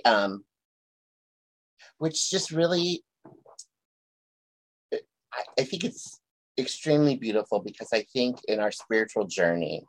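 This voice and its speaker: Low, gravelly man hosting an interview. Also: dry recording, poor audio. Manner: gentle, thoughtful, tells stories. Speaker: podcast host. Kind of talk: reflective interview chat.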